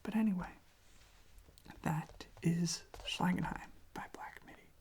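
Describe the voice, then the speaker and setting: A soft-spoken ASMR host rambling casually in a gentle, hesitant, self-deprecating way, with a breathy, husky, whispery voice close to the microphone. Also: mid-range voice, unhurried. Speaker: host of a relaxation channel showing records.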